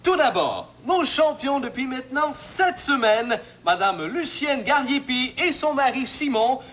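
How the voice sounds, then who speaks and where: A man's voice: very high-pitched, sing-song, a little slow, a bit bright, exaggerated voice. A man playing a cheerful, polite game show host, with a Quebec French accent and a polished, ceremonious delivery. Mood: cheerful, formal.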